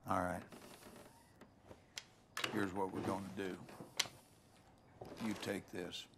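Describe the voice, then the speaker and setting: A man's voice: low-pitched, level tone, slow, creaky, very noisy, exaggerated voice. A harsh, bitter Southern father. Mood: coaxing, grim. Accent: Southern American. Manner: folksy drawl, domineering. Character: harsh, bitter, abusive American rural father. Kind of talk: video game drama acting. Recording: game music behind the voice.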